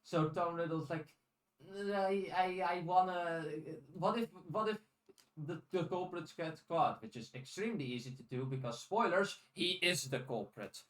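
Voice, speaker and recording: Higher-pitched man chatting in an echoey room. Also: normal speed, a bit bright. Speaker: podcast co-host. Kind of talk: casual discussion. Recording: roomy, echoey sound.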